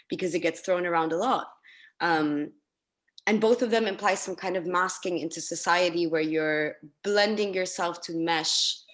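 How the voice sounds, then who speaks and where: Thoughtful woman on a video panel. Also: fairly low pitch. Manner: thoughtful, reflective. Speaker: artist panelist. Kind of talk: panel discussion. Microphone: video call.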